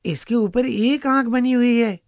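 Woman acting a slow-spoken curious little boy. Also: fairly high pitch, a little mellow, no echo, studio quality. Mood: amazed.

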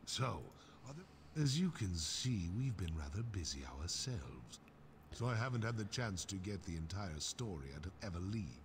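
A man voicing a gruff, blunt, battle-hardened orc warrior in a fantasy video game, with a gravelly voice. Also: low-pitched, very animated, moderate pace, slight background noise. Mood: uneasy. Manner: blunt, swearing, wry. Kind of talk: fantasy game character acting.

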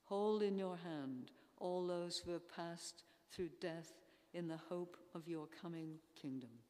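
Slow, solemn older woman praying in an echoing church. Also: fairly low pitch, darkish tone. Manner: solemn, measured phrasing with pauses. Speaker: church intercessor leading prayers. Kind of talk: formal read liturgical prayer. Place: large church interior. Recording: echoey church hall, long reverb.

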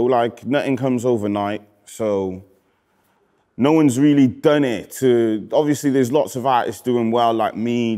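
A man in his thirties from Wales with a Cardiff accent, a rapper casually reading out comments in a relaxed, slangy way that often trails off quietly. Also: mid-range voice. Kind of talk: casual chat. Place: indoor studio with graffiti wall.

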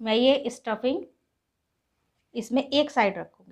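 A woman's voice: fairly high pitch, unhurried. A woman hosting a cooking channel, patiently explaining each step of a recipe to viewers in her home kitchen. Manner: patient, instructive, addresses viewers as friends. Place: home kitchen with gas stove.

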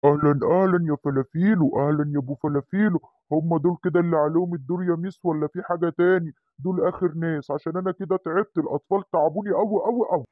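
A man's voice: on the high side, steady tone, rapid-fire, no room sound, narrow, tinny audio, lightly acted. A man voicing a kindly dog doctor who coaxes children into their shots, with an Egyptian accent. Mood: soothing. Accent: Egyptian Arabic. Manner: soothing, cajoling.

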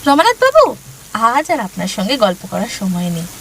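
A woman playing a breezy young wife in a comic audio drama, speaking briskly as if heading out. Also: mid-range voice, huge pitch swings, noisy background.

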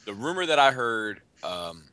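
A man's voice: medium pitch, very animated, moderate pace, bright, forceful tone, clear, resonant tone, dry recording, poor audio. A man hosting a casual panel podcast, chatting about hobby news with some swearing. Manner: profane. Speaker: podcast host. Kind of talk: casual chat, panel discussion of toy news.

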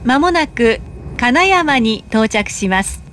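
A woman delivers a recorded train announcement in a high voice. She speaks slowly and politely, with careful, measured enunciation. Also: animated, fairly bright tone, a little hiss or hum. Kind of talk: recorded public announcement, scripted.

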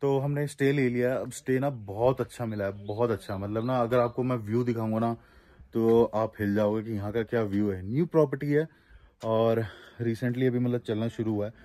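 A man in his thirties narrating a casual travel vlog while driving mountain roads and touring a hotel, chatty and excitable, mixing English into his Hindi. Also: mid-range voice, normal speed, dry recording. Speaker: travel vlogger. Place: in a car on mountain roads and at a hill resort.

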